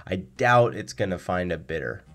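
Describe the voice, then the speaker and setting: A man's voice: low-pitched, animated, normal speed, fairly bright tone. A man giving conversational answers as an expert guest in a radio interview. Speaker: guest, car blog writer.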